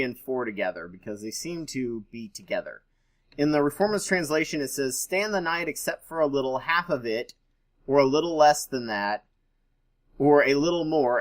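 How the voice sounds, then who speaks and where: A man reading aloud and adding his own informal commentary as host, with a skeptical, dryly sarcastic manner. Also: medium pitch.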